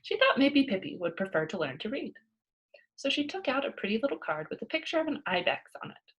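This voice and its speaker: A woman narrating a children's storybook aloud in an expressive way. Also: a little high, fairly quick, breathy edge. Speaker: storybook reader.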